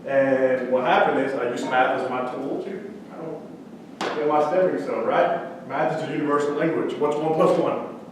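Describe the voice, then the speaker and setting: Man pitching in an echoey room. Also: fairly even intonation, moderate pace, distant mic, background noise. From central Mexico. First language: Spanish. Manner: conversational, quizzes the audience with questions. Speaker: startup founder pitching. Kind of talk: energetic startup pitch talk. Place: event room with audience. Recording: echoey room, speaker away from mic.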